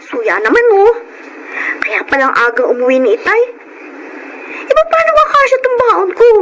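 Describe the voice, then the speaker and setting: A needy, complaining Filipino schoolgirl in a family drama. Her voice is extremely high, and the recording carries clear noise. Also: huge pitch swings, moderate pace, heavily acted. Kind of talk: dramatic radio-style acting.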